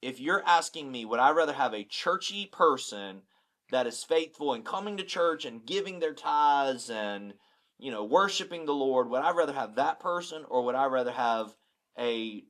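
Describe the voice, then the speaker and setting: A man's voice: medium pitch, lively intonation, normal speed, fairly bright tone. A middle-aged man who is a pastor, talking candidly and bluntly in a casual podcast chat, often repeating words as he starts a thought. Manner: candid, blunt, self-deprecating.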